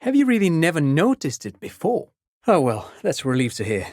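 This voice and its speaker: Polite intellectual man, video game character, pedantic, keen explainer, lively intonation, dry studio sound. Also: fairly high pitch, fairly quick, no echo, exaggerated voice. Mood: courteous, musing. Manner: pedantic, erudite, explanatory, courteous. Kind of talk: video game dialogue acting.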